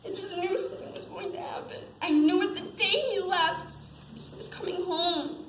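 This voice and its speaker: Woman playing a high-voiced anxious army wife. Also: steady tone, moderate pace. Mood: distraught, denying. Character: anxious young army wife and mother in denial. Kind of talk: amateur stage acting. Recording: echoey stage, faint background noise.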